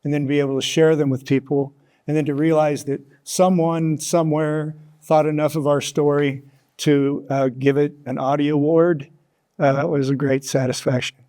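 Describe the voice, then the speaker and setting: An American man in his sixties with a mellow, relaxed tone set a little above average in pitch. He talks as an interview guest, thoughtful and reflective, often pausing mid-phrase as he finds his words. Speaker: interview guest, author and storyteller.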